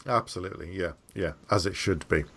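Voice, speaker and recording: Man, lowish voice, podcast host, agreeable, conversational, casual interviewing. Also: brisk, a bit bright, slightly rough. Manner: agreeable, affirming.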